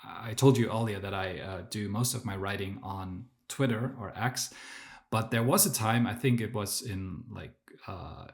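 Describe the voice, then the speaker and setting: A man's voice: fairly low pitch, unhurried, gravelly. A man whose native language is German, telling his story as a podcast guest, with many fillers and restarts. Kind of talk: casual interview storytelling.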